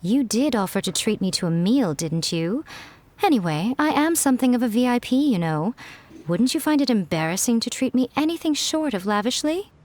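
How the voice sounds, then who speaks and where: A woman's voice: on the high side, animated, normal speed, darkish tone, a little hiss or hum, lightly acted. A woman playing a smug, teasing fox spirit. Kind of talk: video game voice acting.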